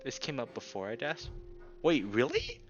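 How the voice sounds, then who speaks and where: A man reading a visual novel aloud as a streamer, breaking off into casual, excitable reactions. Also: medium pitch, normal speed, creaky, dull audio. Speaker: let's play streamer, narrator. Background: background music.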